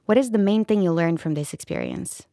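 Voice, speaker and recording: A woman's voice: medium pitch, a little fast, gravelly, warm tone, no echo, studio quality. A woman, presenting a podcast in a scripted way as its host. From Serbia. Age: 20s.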